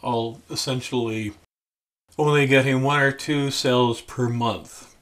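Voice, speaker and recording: An older man, around his sixties, talking casually to the camera in a deliberate, halting, dry manner. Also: mid-range voice, fairly even intonation, a bit bright. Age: older, about 60s. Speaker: memoir author addressing viewers. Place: small room at home, indoors.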